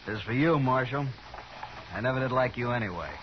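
An American actor playing a surly, insolent cowhand villain in a 1950s radio Western, sneering and defiant. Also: male voice, mid-range voice, brisk, lightly acted. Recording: muffled, noisy 1950s radio recording.

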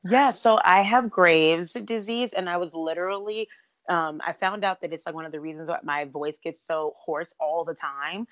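A woman with a raspy voice hosting a casual podcast chat over a remote call, talking in a breezy way with lots of "like" and "literally.". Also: fairly low pitch, no echo, highs cut off. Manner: chatty, fast-talking with many "like" and "literally". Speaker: podcast host. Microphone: remote call, cutting out at times.

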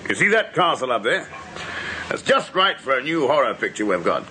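An actor in a radio drama playing a boorish, pushy film maker who talks in a hearty, overfamiliar, insistent way. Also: male voice, medium pitch, very animated, fairly quick, sharp tone. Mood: pushy enthusiasm. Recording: noisy old radio drama recording.

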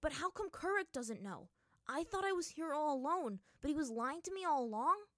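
A hot-headed hero of a fantasy storybook, voiced by a woman, impatient and demanding. His voice sits high and swings around in exasperation, pushing forcefully for answers. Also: sing-song, measured pace, no room sound, clean studio sound. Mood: angry, surprised.

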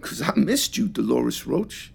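A generous, rough-edged shopkeeper in a fiction podcast, a man. His husky, gravelly voice swings up and down freely. He speaks in easy, reassuring slang. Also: on the high side, sing-song, unhurried, full character voice. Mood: tender. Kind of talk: naturalistic audio drama dialogue.

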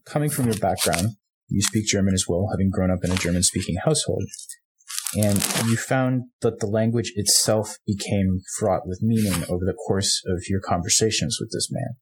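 A man interviewing an author, asking careful, deliberate questions. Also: deep voice, background noise.

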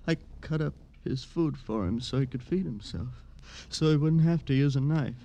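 Anguished, halting confessor, acted by a man. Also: medium pitch, creaky, mellow, dull audio, lightly acted. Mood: dazed, halting. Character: guilt-ridden, broken male confessor, fragile and tormented. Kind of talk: 1950s radio drama acting.

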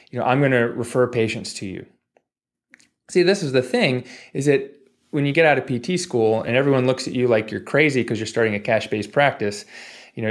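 A man in his forties telling stories casually as a podcast guest, rambling and digressing with jokey asides. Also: medium pitch. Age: 40s. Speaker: podcast guest, physical therapist. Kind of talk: casual storytelling in a podcast interview.

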